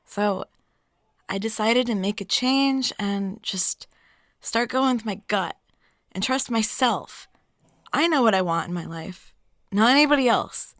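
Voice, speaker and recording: Woman acting as a cute flirty waitress, giggly n chatty, kinda coy, mid-pitched voice, very dry recording. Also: lively intonation, unhurried, a bit bright, dry recording. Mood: flirty, earnest. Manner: giggly, chatty, coy, trailing into filler questions. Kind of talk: intimate flirtatious roleplay audio.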